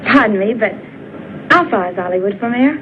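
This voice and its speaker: An actress playing a hopeful, chatty young domestic servant in a 1960s B-movie. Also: female voice, on the high side, animated, fairly quick, natural voice. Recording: muffled noisy old film soundtrack, vehicle sounds.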